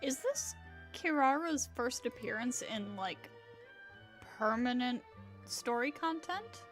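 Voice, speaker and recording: Bright-voiced, animated woman streamer. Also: on the high side, slow, soft background music. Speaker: livestreamer. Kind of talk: casual game stream commentary.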